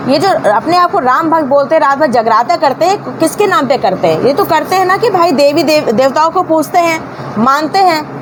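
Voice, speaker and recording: A woman giving informal, opinionated news commentary in an indignant, scolding manner, with emphatic rhetorical questions. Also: extremely high pitch. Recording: clearly noisy background.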